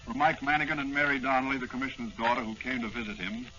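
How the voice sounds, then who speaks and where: A man's voice: medium pitch, flat delivery, racing, bright, forceful tone, close to an everyday voice. A man delivering scripted dramatic narration in the style of a 1940s radio serial. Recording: muffled old-time radio recording. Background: music.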